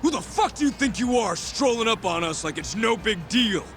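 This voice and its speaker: An actor playing an aggressive, foul-mouthed yakuza thug in a video game, with a snarling, threatening manner. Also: male voice, high-pitched, animated, moderate pace, fairly bright tone, soft background music. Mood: enraged.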